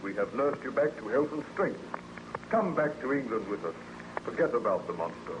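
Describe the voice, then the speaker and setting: A man playing a steady, protective ship's captain in an old-time radio drama, formal, earnest and reassuring. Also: medium pitch, normal speed, close to an everyday voice. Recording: dull, noisy old radio recording.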